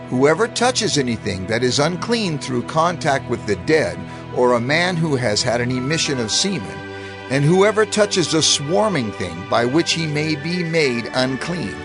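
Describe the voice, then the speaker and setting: A man narrating scripture in a measured, formal reading style. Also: mid-range voice. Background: music.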